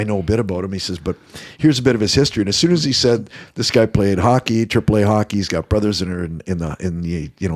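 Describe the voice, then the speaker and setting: A man co-hosting a podcast, chatty and quick to laugh as he leads a casual interview. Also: lowish voice, no room sound, clean studio sound. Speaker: podcast co-host. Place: studio.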